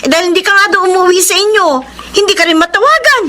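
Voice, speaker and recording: An actress playing a fed-up young fiancée in a Filipino radio drama, accusing and indignant. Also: female voice, very high-pitched, expressive, quick pace, bright, forceful tone, slight background noise, heavily acted. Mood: angry scolding.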